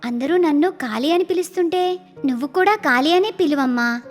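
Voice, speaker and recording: A woman's voice: high-pitched, expressive, normal speed, soft background music. A woman voicing a kind, innocent little village girl in a cartoon moral story, earnest and pleading.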